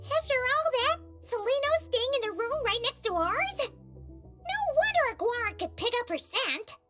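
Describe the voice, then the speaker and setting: A woman playing a determined, earnest young fairy girl in an anime-style video game, with an expressive, eager delivery. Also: very high-pitched, a little slow, full character voice. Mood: insistent. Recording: game music behind the voice.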